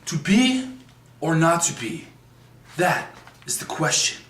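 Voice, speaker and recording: A man playing a brooding tragic prince, reciting a Shakespearean soliloquy in a halting, stumbling way. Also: a little high, sing-song, fairly bright tone, full character voice. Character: brooding young tragic prince, contemplative and despairing. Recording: echoey room.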